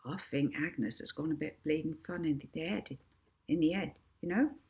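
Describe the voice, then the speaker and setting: A woman's voice: sits low, normal speed, darkish tone. Woman acting a blunt, earthy orphanage cook. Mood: confiding. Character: stubborn, earthy orphanage cook, a woman who mildly swears.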